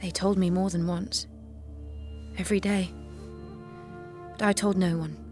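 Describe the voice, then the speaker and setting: An actress playing a devout young warrior maiden in an audio drama, earnest, grave and restrained. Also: female voice, fairly low pitch, little pitch movement, unhurried, darkish tone, faint music behind, natural voice. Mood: solemn, confiding. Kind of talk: solemn audio drama acting.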